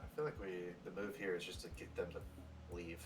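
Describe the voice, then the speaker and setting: A man playing in a tabletop game, tossing in quick mumbled asides. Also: sits low, little pitch movement, noisy background. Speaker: player. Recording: distant mic with chatter behind.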